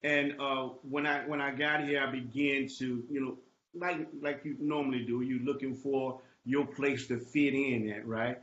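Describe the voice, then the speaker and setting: A man's voice: mid-range voice, fairly even intonation, normal speed, fairly bright tone. A man from Tampa tells his story as a realtor guest, easygoing and folksy. His voice comes through an echoey room on dull, lo-fi call audio. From Tampa, Florida. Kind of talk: interview answers.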